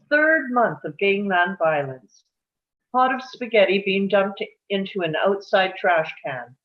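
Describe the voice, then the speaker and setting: A woman's voice: medium pitch, muffled recording. A woman reading screenplay stage directions aloud as scripted narration at a table read.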